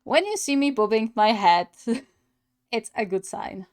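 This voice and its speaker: A woman's voice: a little high, sing-song, takes it slowly. A young adult woman giving casual, musing reaction commentary as a gaming host.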